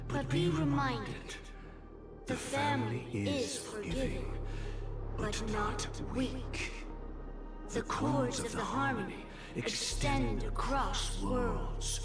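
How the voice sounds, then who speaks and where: A woman's voice: a little high, heavily acted. A woman playing a stern, imperious dream master in a video game, speaking in formal, grandiose pronouncements. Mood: solemn menace. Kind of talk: dramatic video game voice acting. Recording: roomy game audio under loud music.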